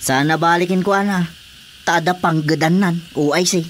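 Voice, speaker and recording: A man's voice: on the high side, steady tone, normal speed, fairly bright tone, slight background noise, natural voice. Actor as matter-of-fact male village captain, Ilocano accent. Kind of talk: Ilocano radio drama comedy acting.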